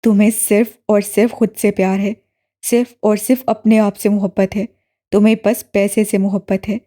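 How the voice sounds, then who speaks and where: A woman voicing a bitter young man who shouts accusations at his sister, in a lowered voice in an audiobook. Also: flat delivery, lightly acted. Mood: bitter, shouting. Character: furious young man, bitter estranged brother. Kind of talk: audiobook character voice.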